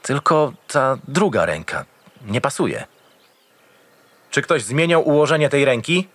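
An actor playing a gruff, cynical and experienced homicide detective in an audio drama, curt and impatient. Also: male voice, medium pitch, animated, measured pace, a bit bright, dry recording. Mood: brooding, businesslike. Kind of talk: audio drama acting with noir narration.

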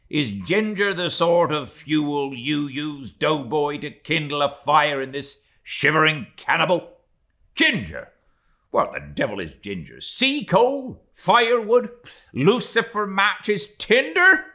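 A man reading a novel aloud, voicing a bluff, excitable ship's mate who mocks loudly. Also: fairly high pitch, pitched above the performer's own voice, heavily acted. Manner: loud, blustering, sarcastic questioning. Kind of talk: audiobook character voicing.

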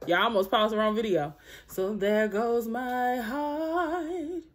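A young woman with a deep alto voice sings along on her phone in a small bedroom, stopping to chat with her viewers. Her speaking voice sits fairly high and her delivery is drawn out and very slow. A song plays faintly behind her. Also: soft background music. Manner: chatty, talks to viewers between sung lines. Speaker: vlogger learning a song. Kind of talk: casual singing practice vlog. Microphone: phone camera held close.

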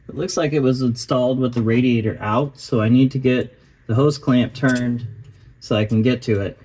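A man talks through a repair step by step. His voice sits at an ordinary middle pitch, and his delivery is calm and matter-of-fact. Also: normal speed, a little hiss or hum. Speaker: host of a car restoration channel. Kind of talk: voice-over narration of a repair.

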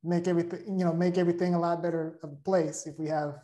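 A woman hosts a conversational interview in a fairly low voice. She is appreciative and affirming toward the guest. The recording has a clear roomy echo around the voice. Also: flat delivery, quick pace, dark tone. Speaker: interview host. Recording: roomy, echoey sound.

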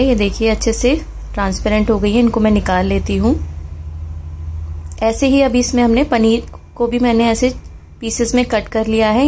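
A woman with a fairly high voice talks through a home cooking recipe one step at a time. She speaks in a friendly, instructive tone and keeps addressing the listener as friends. A faint hiss sits under her voice. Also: darkish tone. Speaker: cooking channel host. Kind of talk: home cooking tutorial voice-over. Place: home kitchen stove.

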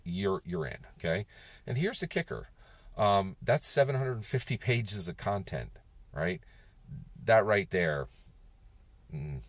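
A man's voice: a bass voice, no echo. A man in his fifties vlogging from a moving car on a lapel mic, musing aloud and tagging "right?" onto his points. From Philadelphia, USA. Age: 50s. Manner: rambling, circles back with "right?". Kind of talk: casual talking-head monologue. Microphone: clip-on lapel mic. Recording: car and road noise behind. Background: road and engine noise.

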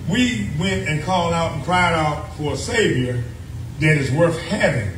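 A middle-aged man with a Southern American accent preaching a call-and-response sermon, building from steady talk up to cries of praise. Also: fairly high pitch, unhurried, a little hiss or hum. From United States. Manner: preaching, rising to shouts of praise, repeats phrases. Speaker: pastor. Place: small church sanctuary, indoors. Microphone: handheld mic, filmed from the pews. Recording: roomy church sound from a distance with music behind.

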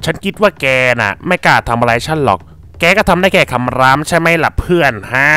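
A man playing a boastful, excitable hapless villager in a game cartoon. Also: on the high side, fairly quick, smooth. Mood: cocky.

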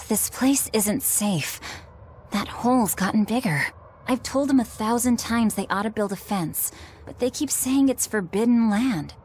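A woman voicing an earnest, spirited teenage village huntress in a video game, expressive in her delivery. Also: on the high side, slightly rough. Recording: music under the dialogue.

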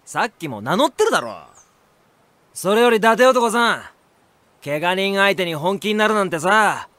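An actor playing an easygoing, breezy young wanderer in an audio drama, chiding hotheads in casual speech as an exasperated peacemaker. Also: male voice, high-pitched, expressive, moderate pace, a bit bright, no echo. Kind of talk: Japanese anime-game audio drama acting.

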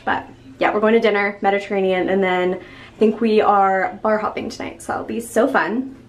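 A woman's voice: medium pitch, a little hiss or hum. A woman in her twenties chatting casually to camera in a rambling, apologetic way, filmed on a handheld camera in a small bathroom. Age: 20s. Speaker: vlogger. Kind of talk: casual vlog chat to camera. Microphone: handheld camera at arm's length.

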